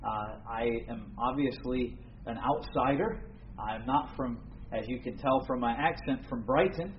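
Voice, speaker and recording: Man from the US, earnest formal preacher, mid-pitched, on a dull lo-fi recording with faint noise. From United States. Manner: earnest, formal, with frequent pauses and fillers. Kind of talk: sermon.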